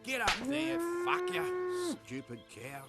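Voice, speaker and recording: Blunt, sweary Australian country uncle. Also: male voice, extremely high pitch, wildly swooping pitch, unhurried, loud music behind the voice, full character voice. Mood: yelling, irritated. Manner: blunt, sweary, matey slang. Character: gruff, foul-mouthed, laid-back rural uncle. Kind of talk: film comedy-drama dialogue.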